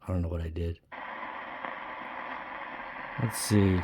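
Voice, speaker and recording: A man's voice: low-pitched, fairly even intonation, takes it slowly. A man thinking aloud in a puzzled mutter, giving casual, unscripted hands-on commentary as a hobbyist. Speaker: hobbyist demonstrating a radio. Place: indoors at a wooden tabletop. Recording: noisy room with radio static. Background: radio noise.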